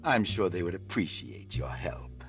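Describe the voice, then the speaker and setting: Suave, condescending doctor over music. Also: male voice, sits low, very animated, whispered, exaggerated voice. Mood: evasive, courteous. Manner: measured, polite, condescending. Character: suave, evasive male doctor, refined and condescending. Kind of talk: video game cutscene acting.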